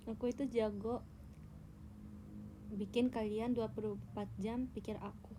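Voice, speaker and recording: A woman's voice: fairly high pitch, flat delivery, unhurried, noisy background. Playful young woman chatting on a livestream. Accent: Indonesian. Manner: playful, teasing, tells tall tales. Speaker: idol livestreamer. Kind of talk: casual livestream chat to viewers.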